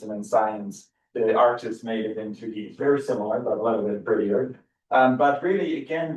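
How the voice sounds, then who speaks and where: Dutch-accented professor lecturing in an echoey room. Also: male voice, mid-range voice. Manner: enthusiastic, repeats words and restarts often. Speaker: biology professor giving a seminar. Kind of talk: university seminar lecture. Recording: echoey room, far from the mic.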